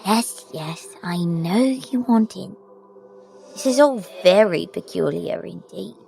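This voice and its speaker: Prim old-fashioned lady, slow n creaky, kinda musing to herself, audio drama w music under it. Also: fairly low pitch, lively intonation, dark tone, heavily acted. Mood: musing, resolute. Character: prim, inquisitive young-seeming supernatural lady, fretful yet resolute.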